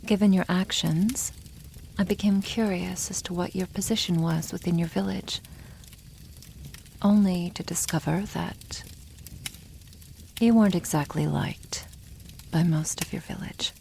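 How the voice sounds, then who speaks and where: A female dragon played by a woman speaks in an intimate ASMR roleplay, protective and secretly smitten. Her voice is hushed and slightly low, with plenty of fry, and she shapes formal sentences very slowly. Faint noise sits behind her. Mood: gentle, earnest. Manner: slow, hushed, often breathy half-whisper, formal wording.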